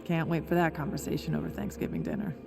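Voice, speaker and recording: An actress with an American accent playing a guarded, resolute young informant in a video game, dry and a little halting. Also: female voice, sits low, big pitch swings, creaky, music in the background, heavily acted. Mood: dismayed, wry.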